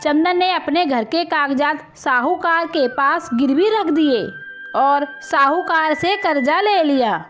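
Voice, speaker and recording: A woman's voice: high voice, takes it slowly, fairly bright tone. A woman narrating a scripted moral story as its storyteller. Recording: muffled lo-fi audio with music.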